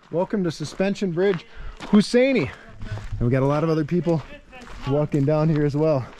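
A man's voice: a little high, a little mellow, a little hiss or hum. A man in his thirties, a travel vlogger joking as he walks and talks to a handheld camera on a rocky riverside path. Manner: upbeat, joking, walking and talking to a handheld camera. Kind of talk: casual travel vlog. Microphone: handheld camera at arm's length.

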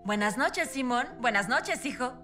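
A woman's voice: fairly high pitch, lively intonation, a little slow. A woman voicing a kindly, instructing mother rabbit in a children's cartoon, with a Latin American Spanish accent. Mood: motherly. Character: mother rabbit, kindly but bossy at bedtime. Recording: echoey room, loud music behind.

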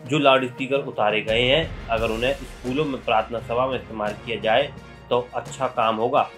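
A male news presenter narrates a scripted report in a mid-pitched voice. Background music plays beneath his narration. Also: steady tone, a little fast, fairly bright tone.

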